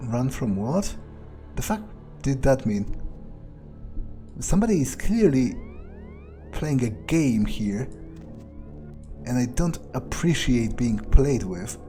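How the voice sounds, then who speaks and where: A man playing a foul-mouthed, sardonic vampire, musing aloud with wry, hesitant pauses as he plays a video game. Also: mid-range voice, big pitch swings, mellow, faint music behind. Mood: irritated. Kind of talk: in-character playthrough narration, musing aloud.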